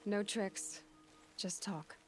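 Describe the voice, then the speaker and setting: Casting: resolute young female hunter, medium-pitched, direct, earnest game-drama read over faint music. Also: fairly even intonation, drawn-out words. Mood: firm, probing. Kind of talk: dramatic video game acting.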